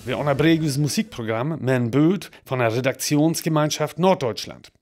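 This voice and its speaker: Actor as a male radio newsreader, mid-pitched, North Frisian accent, sober, scripted bulletin delivery. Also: fairly quick, darkish tone, soft background music, natural voice.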